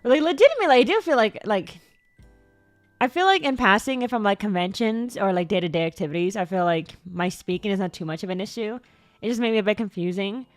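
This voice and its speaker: A woman's voice: fast, no room sound. Rambling young woman livestreamer. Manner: rambling, repeats words, sudden squeaky jumps in pitch; stumbles over words. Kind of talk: casual stream chat. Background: faint background music.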